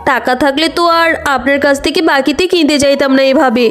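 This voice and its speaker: A woman's voice: sits high, steady tone, fast, natural voice. A woman voicing a poor, caring fox mother in a cartoon, who speaks in a soothing, pleading way. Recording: voice over clear background music.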